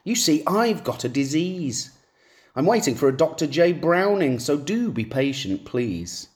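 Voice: mid-range voice, big pitch swings, measured pace, voice pushed up. A reader voicing a fussy, sickly man on the shore in a comic poem, with a prim delivery.

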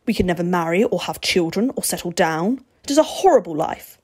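Woman voicing a stern, husky male vampire. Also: lowish voice, expressive, brisk, a little mellow. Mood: grim, weary. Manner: formal, clipped, growling and snarling. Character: stern, menacing old male vampire, formal and suspicious.

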